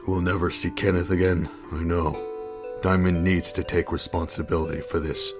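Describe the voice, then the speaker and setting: A man's voice: sits low, little pitch movement, normal speed, music in the background, close to an everyday voice. A man playing a protective, skeptical and teasingly secretive husband and father in an audio drama. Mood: concerned.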